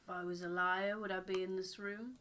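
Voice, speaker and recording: Game protagonist played by a female host: guarded, dry recruit, lowish crisp-edged voice. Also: little pitch movement, brisk, a bit bright, slight background noise, lightly acted.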